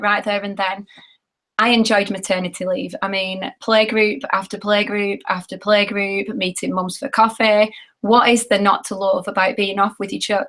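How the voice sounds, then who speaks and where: A British woman in her thirties with a hoarse, nearly lost voice, telling her story in a chatty, candid way. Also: medium pitch, fairly bright tone. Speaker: network marketing seller sharing her story.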